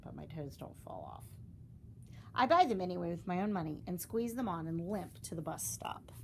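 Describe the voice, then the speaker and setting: A woman reading a children's storybook aloud, expressively, with dramatic emphasis and deliberate pauses. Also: lowish voice, very animated, vocal fry, slight background noise. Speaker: storybook reader.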